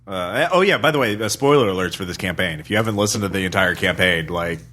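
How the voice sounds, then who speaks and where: A man hosting a podcast, asking rambling, halting questions in a casual conversation about a tabletop game campaign. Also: low voice, lively intonation, bright, forceful tone, slight background noise. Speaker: podcast host.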